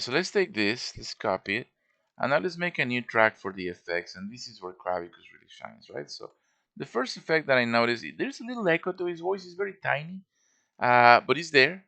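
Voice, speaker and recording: A man's voice: medium pitch, vocal fry, pushed and bright. A man giving a casual show-and-tell tutorial as a game modder, informal and thinking aloud as he works.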